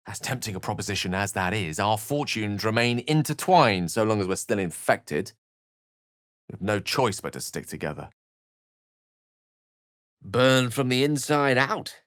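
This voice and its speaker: A man's voice: lowish voice, a bit bright, no echo, clean studio sound. A man playing an eloquent, bookish video game wizard, wry, proud and courteous, with an articulate, theatrical and refined delivery. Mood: wry, composed. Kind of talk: video game character acting.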